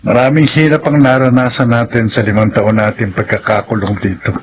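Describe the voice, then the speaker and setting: A man's voice: mid-range voice, little pitch movement, brisk, slight background noise, lightly acted. An actor playing a remorseful ex-convict who wants to change his life, speaking earnestly in a radio drama. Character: remorseful ex-convict son seeking a new life. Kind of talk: classic radio drama acting.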